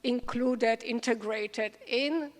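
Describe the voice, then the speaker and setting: A woman moderating an on-stage interview, speaking unscripted in a halting, careful way with restarts. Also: on the high side. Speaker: moderator, scholar. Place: large event hall stage. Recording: echoey hall with long reverb.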